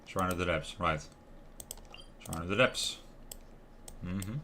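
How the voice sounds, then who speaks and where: A man's voice: low-pitched, slow, sharp tone, slight background noise. A man livestreaming a video game in casual commentary, musing aloud and often trailing off to question himself. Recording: music playing behind the voice. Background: lo-fi music, keyboard typing and clicks.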